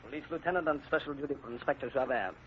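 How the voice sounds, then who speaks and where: An actor, a man, playing a brisk, official police lieutenant in a radio drama. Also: medium pitch, natural voice. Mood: urgent, questioning. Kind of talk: 1930s radio drama acting. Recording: tinny, faintly noisy 1930s radio.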